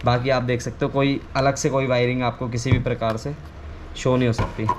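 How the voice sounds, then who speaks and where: A man's voice: medium pitch, flat delivery, brisk. Man, casual tutorial, noisy background. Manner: informal, explanatory, repeats himself. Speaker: video creator giving a how-to. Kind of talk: casual hands-on tutorial chat. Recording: clearly noisy ambient background.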